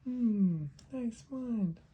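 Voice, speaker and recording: A storyteller voicing a tiny, apologetic, squeaky mouse in a children's story. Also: drawn-out words, slightly rough, phone-quality sound. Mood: sly, pleased.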